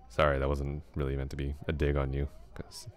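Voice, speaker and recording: A man playing a laid-back flirty classmate, very deep. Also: completely flat delivery, unhurried, clean tone. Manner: casual, easygoing, chuckles often. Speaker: ASMR roleplay voice actor. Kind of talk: ASMR roleplay, soft close talk.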